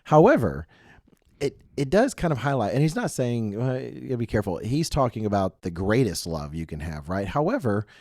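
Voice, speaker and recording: A man's voice: medium pitch, sing-song, brisk, darkish tone, no echo, studio quality. A man co-hosting a casual religious youth podcast in a chatty, self-deprecating way, full of asides, getting over a mild cold. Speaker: podcast co-host.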